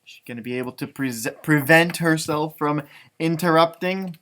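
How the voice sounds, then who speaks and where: A man hosting a children's storybook read-aloud, expressive and playful, adding chatty asides and wondering aloud. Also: fairly high pitch, moderate pace, slight background noise. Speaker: storytime read-aloud host, music teacher.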